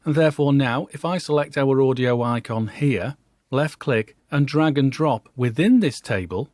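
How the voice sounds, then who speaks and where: A man giving an instructional software walkthrough, explaining step by step in a conversational way. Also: medium pitch, no room sound, studio quality.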